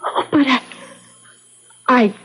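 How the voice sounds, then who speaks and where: A woman's voice: takes it slowly, fairly bright tone. An actress playing a shy, fluttery middle-aged woman who is adoringly in love, in 1970s radio drama style.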